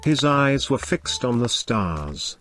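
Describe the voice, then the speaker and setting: A man's voice: medium pitch, sing-song, slow, fairly bright tone. A man narrating a scripted moral story for a cartoon. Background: faint music.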